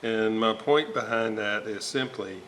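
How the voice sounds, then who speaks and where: A man giving an informal repair walkthrough at a workbench, halting and thinking aloud as he works. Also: on the low side, fairly bright tone. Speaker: host of a radio restoration video series. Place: indoor workbench, hands working on components. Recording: noticeable background noise.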